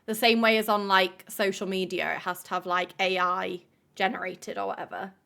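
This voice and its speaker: Bright-voiced woman chatting on stream. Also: medium pitch, flat delivery, moderate pace, gravelly. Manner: chatty, lots of fillers, swears freely. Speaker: livestream host. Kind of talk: casual chat with viewers.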